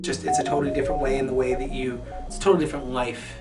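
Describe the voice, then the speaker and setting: A man's voice: mid-range voice, flat delivery, racing. A man in his twenties from New York, earnestly answering interview questions and repeating phrases as he thinks. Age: 20s. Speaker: resident assistant. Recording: distant mic, loud music underneath.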